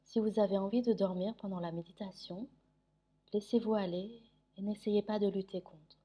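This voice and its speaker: Female meditation guide, dark soft-edged timbre, mid pitch, soothing and unhurried with long pauses. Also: steady tone. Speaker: yoga nidra meditation guide.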